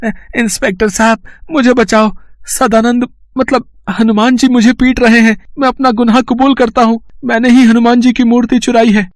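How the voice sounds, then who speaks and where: A man voicing a greedy, cowardly brother-in-law who whines and pleads in a high, frantic voice. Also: huge pitch swings, a little slow, breathy edge, dry recording, clean studio sound, exaggerated voice. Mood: pleading. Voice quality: shrill, panicky whine when scared. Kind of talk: animated storybook voice acting.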